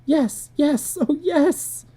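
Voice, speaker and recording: Anxious housewife, played by a female narrator, raised pitch, tearful, pleading, dramatic audiobook character. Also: very slow, dark tone. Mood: tearful, wistful. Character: frightened, frantic, pleading housewife Lydia.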